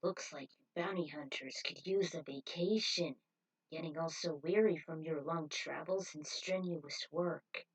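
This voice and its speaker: A woman voicing a calm, silky male cult member with a persuasive, condescending manner, in an amateur story reading. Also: sits low, a little slow, husky, pitched below the performer's own voice, heavily acted, low-quality recording. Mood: smooth coaxing.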